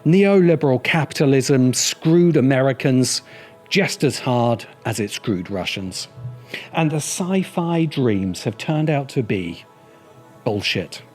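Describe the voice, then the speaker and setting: A man reading scripted video essay narration in a measured, emphatic and wry manner. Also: medium pitch, expressive, darkish tone. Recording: music bed under the voice. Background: background music.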